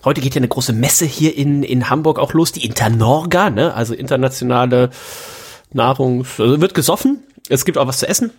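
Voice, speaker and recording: Ein Mann mit norddeutschem Akzent, der als Gastgeber locker und gesprächig plaudert, mit lebhaften Tonsprüngen. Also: male voice, fairly quick, husky. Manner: chatty, with lively pitch jumps. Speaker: podcast host. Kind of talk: casual chat.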